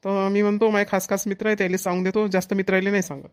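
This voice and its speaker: A woman's voice: medium pitch, flat delivery, rapid-fire, smooth, close to an everyday voice. A woman voicing an easygoing, helpful young village son in a cartoon comedy, plain and matter-of-fact, with a Varhadi Marathi accent. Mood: explaining.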